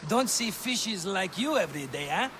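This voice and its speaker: An actor playing a flamboyant middle-aged wheeler-dealer in a video game, cajoling and sentimental in a theatrical way. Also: male voice, sits high, big pitch swings, normal speed, noisy background. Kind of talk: video game cutscene acting.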